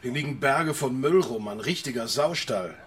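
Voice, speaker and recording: A man's voice: mid-range voice, expressive, moderate pace, slight background noise, lightly acted. An actor playing an eager, officious male junior police officer in a radio crime drama. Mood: eager, disgusted.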